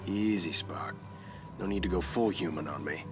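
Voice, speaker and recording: A man's voice: low voice, moderate pace, close to an everyday voice. A man playing a cocky, informal young starship captain in a cinematic video game. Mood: easy, wry. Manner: informal, commanding. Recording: loud music behind the voice.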